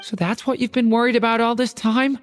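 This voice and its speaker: A woman voicing a gentle, curious young dragon boy in a video game, soft and earnest. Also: fairly high pitch, level tone, a little fast, close to an everyday voice. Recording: game audio with soft music.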